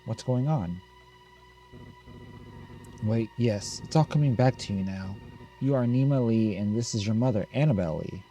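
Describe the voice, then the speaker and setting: A man's voice: lowish voice, mellow. A man doing let's play commentary, reading game text aloud and adding casual remarks. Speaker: gameplay video host.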